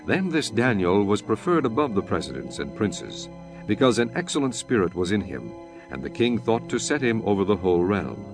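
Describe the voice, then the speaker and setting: Male narrator, low voice, solemn dramatic scripture reading, lively intonation, music underneath. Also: moderate pace.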